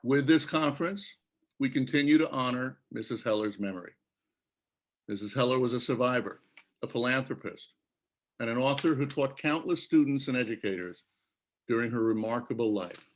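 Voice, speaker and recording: A man delivering formal, scripted welcome remarks as the host of an educational conference, in a measured way. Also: mid-range voice. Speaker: museum leader opening a conference.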